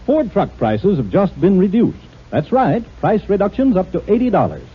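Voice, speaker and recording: A male radio announcer reading a commercial in a crisp, salesy 1950s style, from a dull old radio broadcast. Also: high voice, big pitch swings, normal speed.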